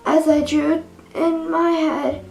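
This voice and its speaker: High-voiced woman, slow creaky recital. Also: flat delivery, darkish tone, roomy sound, low-quality recording. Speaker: reader in a dramatic poem reading. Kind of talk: dramatic poem recital. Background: jazzy Christmas music.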